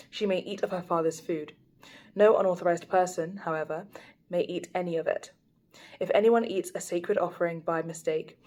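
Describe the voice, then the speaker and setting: A young woman reading scripture aloud at a steady, even pace for her daily Bible video, speaking into a phone. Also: lowish voice.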